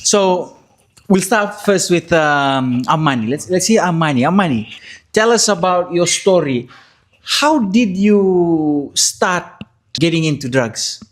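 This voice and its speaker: Male host, casual, upbeat, fairly high voice. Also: lively intonation. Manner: upbeat, prompting with quick questions. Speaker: podcast host. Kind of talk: casual podcast hosting.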